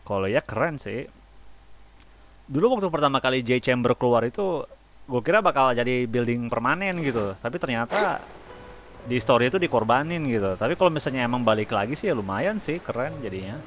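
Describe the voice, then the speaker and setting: Male young Indonesian gaming YouTuber: quick, animated pitch, chatty and slangy, soft game music underneath. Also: a little hiss or hum. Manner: slangy, chatty, thinking aloud. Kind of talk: casual let's-play commentary. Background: faint game music.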